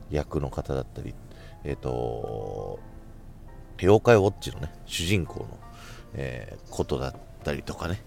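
A man with a very low, deep voice and a bright, forward tone hosts a casual solo chat. He talks conversationally, working out his thoughts aloud. Soft music plays faintly behind him. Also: vocal fry. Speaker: podcast host who works in radio sales.

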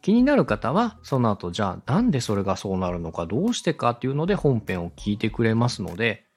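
A man's voice: on the low side, very animated, quick pace, a little mellow, faint music behind. A man hosting an instructional podcast, explaining things politely and step by step to a co-host. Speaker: host, radio director teaching podcast production.

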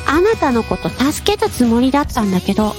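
A woman's voice: high-pitched, big pitch swings, fairly quick, a little mellow, faint music behind. A woman voicing a cheeky, bossy young witch in an anime-style audio drama, cocky and blunt. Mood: blunt, determined. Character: cheeky, bossy young witch girl, brash but kind.

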